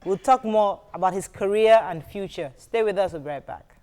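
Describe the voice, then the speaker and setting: A Nigerian man hosting a talk show, teasing his guests and quick to cut in. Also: high voice, music in the background. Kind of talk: lively studio banter.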